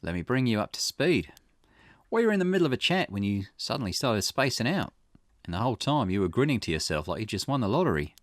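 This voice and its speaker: A man's voice: medium pitch, big pitch swings, quick pace, dry recording, pitched above the performer's own voice, lightly acted. A man voicing a dry, inquisitive, businesslike male detective in dialogue read from a visual novel. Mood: curious, probing. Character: male private investigator, wry, inquisitive and businesslike.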